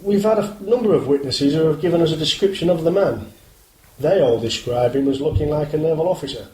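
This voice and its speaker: A man's voice: on the high side, quick pace, warm tone, lightly acted. A British man playing an earnest, guarded police inspector in a radio drama, speaking formally and politely. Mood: formal, probing. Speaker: actor in amateur radio play. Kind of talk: scripted radio drama dialogue. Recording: roomy, hissy amateur radio play recording.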